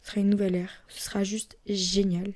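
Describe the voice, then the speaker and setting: A young woman from France chatting casually and rambling on her own podcast, often dropping into soft whispery asides. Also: mid-range voice, little pitch movement, brisk. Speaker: podcast host. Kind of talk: casual solo podcast chat.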